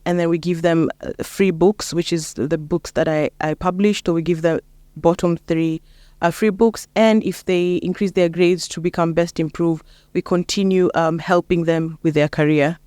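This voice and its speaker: Young woman, Kenyan accent, smooth clear voice, dry sound, casual interview guest. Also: on the low side, fairly even intonation, moderate pace, dark tone, dry recording. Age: young adult. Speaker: interview guest, lawyer and mentor.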